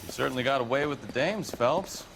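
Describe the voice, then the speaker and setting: An actor playing a blunt, sardonic 1940s detective partner in a game cutscene. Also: male voice, medium pitch, expressive, a little fast, vocal fry, background noise. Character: gruff, wisecracking male 1940s detective.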